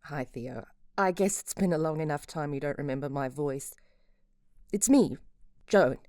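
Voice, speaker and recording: A woman playing a despairing, resigned helpline caller in an audio drama, halting and wistful. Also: low voice, expressive, a little mellow, no echo, clean studio sound. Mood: hesitant. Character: despairing, resigned infected woman saying farewell. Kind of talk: audio drama phone-call acting.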